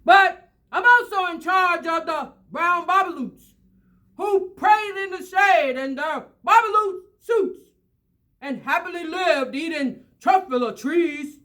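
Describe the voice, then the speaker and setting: A woman's voice: extremely high pitch, slow, bright, forceful tone, exaggerated voice. A woman voicing an indignant, scolding storybook creature with a querulous, emphatic delivery.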